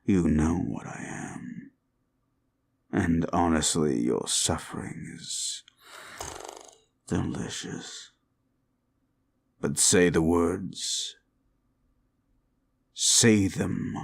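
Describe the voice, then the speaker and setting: A man playing a sinister, mocking demon in a roleplay ASMR style, slow and theatrical, with a gravelly voice and condescending, drawn-out words. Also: deep voice, full character voice. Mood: condescending, menacing. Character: sinister mocking male demon, condescending soul-hungry tempter. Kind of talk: roleplay comfort ASMR acting.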